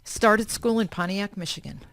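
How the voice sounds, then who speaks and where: Gravelly-voiced woman telling her story. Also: mid-range voice, normal speed, fairly bright tone, dry recording. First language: German. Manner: short, matter-of-fact answers. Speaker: nonprofit founder, interview guest. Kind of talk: radio interview, personal life story.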